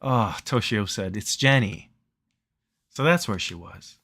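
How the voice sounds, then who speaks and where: A man's voice: on the low side, very animated, a little slow, fairly bright tone, breathy edge, no echo, studio quality. A man hosting a playthrough, reading the game's story aloud and commenting casually on it. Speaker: let's play host reading the game's text aloud.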